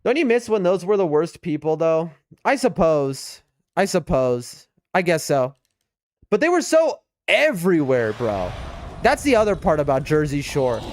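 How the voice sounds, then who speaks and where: A man's voice: on the high side, very animated, unhurried, no room sound. A man with a New York accent giving casual, rambling live game commentary and chatting to his viewers. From US East Coast. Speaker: game streamer. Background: music.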